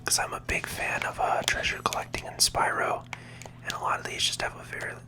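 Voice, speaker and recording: A game channel host giving ASMR commentary in a soft, breathy whisper, hushed and unhurried as they explain the play.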